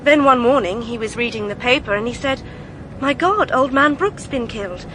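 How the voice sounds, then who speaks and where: A high, clipped British female voice over clearly noisy street ambience. An actress plays an earnest young woman in a radio drama, indignant and emphatic as she confides old secrets. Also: animated, normal speed, natural voice. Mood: earnest, confiding. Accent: British English.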